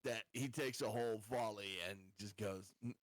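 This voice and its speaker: A man's voice: lowish voice, normal speed, no room sound. A man talking casually as a player in a tabletop roleplaying game.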